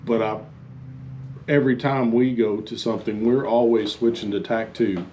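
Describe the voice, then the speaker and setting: A man giving an informal instructional talk as a fire chief, halting and plain-spoken. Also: mid-range voice, steady tone, a little mellow, muffled recording. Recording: faint background noise.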